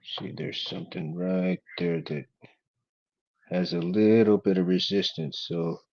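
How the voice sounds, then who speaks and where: A man with a monotone voice, chatting casually and quietly as he works on a hobby build. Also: sits low, a little slow, gravelly, highs cut off. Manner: laid-back, talks quietly while working. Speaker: hobby craft vlogger. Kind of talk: casual working chat while building.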